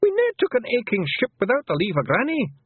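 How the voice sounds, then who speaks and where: A man voicing a small, frantic male pixie chief with a Scottish accent, shrill and gabbling. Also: wildly swooping pitch, fast talker, slightly rough, no echo, heavily acted. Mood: panicky. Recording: tinny, phone-like lo-fi audio.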